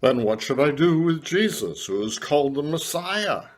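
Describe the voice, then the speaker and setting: Man acting Roman governor, husky voice, very varied pitch, declamatory, scripture drama. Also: big pitch swings, normal speed. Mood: questioning. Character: Pilate, Roman governor questioning a crowd.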